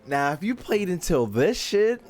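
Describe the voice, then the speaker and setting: A man's voice: sits high, very animated, measured pace. An American man doing casual live-stream game commentary in a slangy, profane, teasing way. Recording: faint game music behind.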